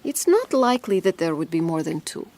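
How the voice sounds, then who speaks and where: A woman's voice: on the low side, big pitch swings, fairly quick, mellow, a little hiss or hum. An actress playing a confident, persuasive woman in an audio drama, reassuring and insistent. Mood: coolly confident. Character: persuasive, coolly confident woman pushing scientific conception.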